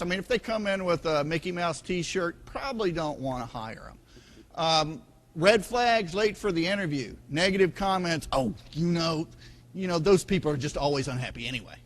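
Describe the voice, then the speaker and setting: Man presenter at a conference session, a little higher voice than most, loose and conversational. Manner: loose, conversational, with fillers and repeated words. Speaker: conference speaker. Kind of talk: conference workshop talk. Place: conference room. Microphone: handheld microphone.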